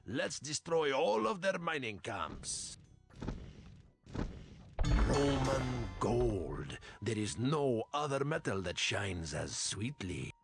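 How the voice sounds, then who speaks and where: A man's voice: mid-range voice, sing-song, slow pace, creaky, exaggerated voice. A man playing a ruthless, commanding Hun raider in a strategy game. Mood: greedy, menacing. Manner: menacing, commanding. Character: ruthless male Hun warrior plotting raids. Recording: loud music over the voice. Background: loud music.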